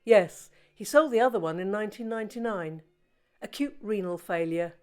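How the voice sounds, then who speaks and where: An actress playing an unsentimental British forensic pathologist with a dry, clinical manner in a radio play. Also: female voice, mid-range voice, moderate pace, darkish tone, natural voice. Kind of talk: naturalistic radio play acting.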